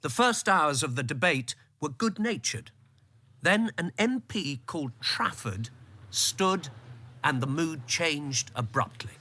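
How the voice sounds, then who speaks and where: An actor playing an observant, sober political insider, narrating a radio drama in a measured, literary manner. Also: male voice, on the high side, expressive, pushed and bright, heavily acted. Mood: grave.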